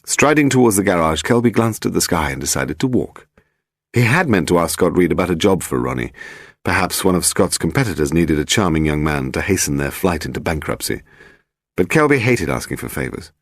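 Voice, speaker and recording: A man's voice: low-pitched, very animated, a little fast, no room sound, studio quality. A man with a British accent reading a story as a scripted audiobook narrator.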